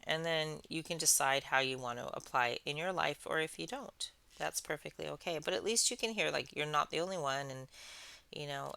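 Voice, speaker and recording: A woman hosting a craft channel, chatting casually in a soothing, soft-spoken, rambling way. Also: sits low, creaky, no room sound. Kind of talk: casual chat while crafting.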